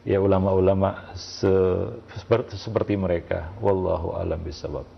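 Gravelly low-voiced male teacher. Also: little pitch movement. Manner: measured, hesitant, tags phrases with "ya". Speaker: ustaz answering listener questions. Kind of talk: religious Q&A lecture. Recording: muffled lo-fi audio.

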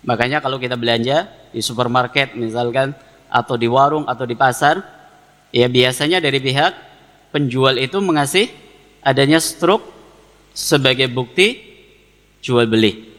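A man's voice: medium pitch, moderate pace, long pauses, fairly bright tone. A man with a Javanese Indonesian accent giving an Islamic lecture, explaining didactically with repeated phrases and questions he answers himself. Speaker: Islamic preacher teaching a congregation. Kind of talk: religious lecture, reading Arabic text then explaining it. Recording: long reverberant hall echo.

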